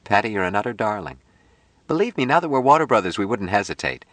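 A man voicing a bright, affectionate, playful young woman in an audiobook story, with a gushing, emphatic manner full of endearments. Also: fairly low pitch, sing-song, fast talker, dry recording, voice pushed up. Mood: amused, generous.

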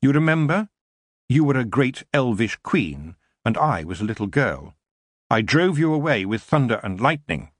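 A stern young witch girl speaks in a raised, firm tone, as a male narrator puts her on. She is measured and commanding, every word deliberate. The recording is close and dry. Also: medium pitch, big pitch swings, no room sound, pitched above the performer's own voice.

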